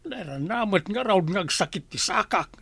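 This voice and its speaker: A grumbling husband in an Ilocano radio drama. His voice sits a little high, with an Ilocano accent and a dry studio sound. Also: animated, moderate pace, fairly bright tone, slightly rough, no echo, exaggerated voice. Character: grumbling husband with sore legs.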